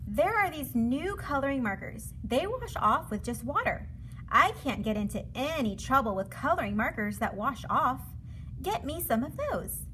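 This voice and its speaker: A woman's voice: high-pitched, sing-song, normal speed, pushed and bright, a little hiss or hum, full character voice, rough recording. A woman voicing an eager, insistent little girl who pleads and wheedles in a children's storybook. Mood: pleading.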